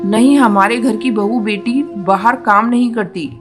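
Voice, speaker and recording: Female actor as old mother-in-law bird, cartoon, slightly high voice, fretful, traditional, music behind. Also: fairly even intonation, measured pace, close to an everyday voice. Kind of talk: cartoon voice acting.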